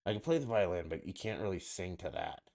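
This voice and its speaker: A man's voice: sits low, lively intonation, quick pace, a little mellow. A man co-hosting a podcast, asking crude questions and bragging in casual banter, a little tipsy. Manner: brash, joking, boastful.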